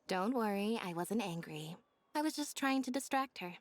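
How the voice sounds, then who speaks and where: A woman playing a cool, deadpan young female magician's assistant in an anime video game, understated. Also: medium pitch, a little slow, natural voice. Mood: calm, reassuring.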